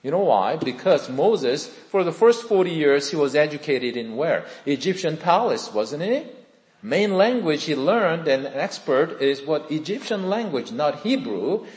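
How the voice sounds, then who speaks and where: Pastor preaching in an echoey hall. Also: male voice, a little high, big pitch swings, a little slow, a little mellow. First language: Korean. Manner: earnest, conversational, asks the congregation questions. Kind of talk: sermon with personal testimony.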